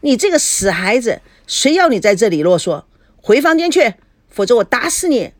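A woman's voice: fairly high pitch, big pitch swings, normal speed, dry recording, studio quality, voice pushed up. A woman voicing a foul-tempered, suspicious mother in a story, scolding with coarse sarcasm. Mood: furious scolding.

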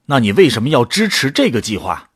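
A man's voice: medium pitch, very animated, a little fast, fairly bright tone, husky, dry recording, studio quality. A man playing a sceptical commando recruit who asks blunt questions in an audio drama.

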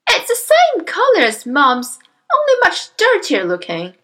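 Blunt, shocked schoolboy for children's story played by a woman: high, loud, very lively pitch. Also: measured pace, heavily acted. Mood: accusing. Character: cheeky, shocked schoolboy son. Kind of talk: acted children's audiobook.

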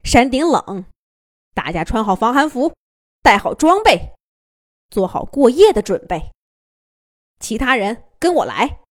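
A woman voicing a calm, kind rabbit who captains an animal rescue team, speaking steadily and reassuringly in a children's storybook. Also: a little high, unhurried, pauses often, no room sound, studio quality. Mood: commanding calm.

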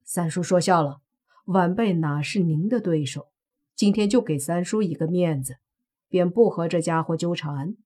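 A woman's voice: lowish voice, normal speed, warm tone, lightly acted. Woman reading a proud fox demon man. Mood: restrained.

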